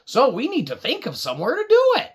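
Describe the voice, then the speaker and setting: Wildly animated woman telling children's stories. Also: sits high, quick pace, husky. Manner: animated, exclamatory, exaggerated reactions. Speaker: puppet show host and storyteller. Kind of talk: interactive children's storytelling, asking an imagined audience for ideas.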